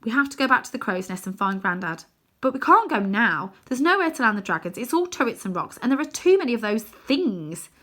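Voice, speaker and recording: A woman's voice: a little high, big pitch swings, a little fast, sharp tone, pitched above the performer's own voice, heavily acted. A woman voicing a stubborn, scornful girl in a storybook, firm and sceptical in manner. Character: stubborn, bossy, scornful girl, a twin sister.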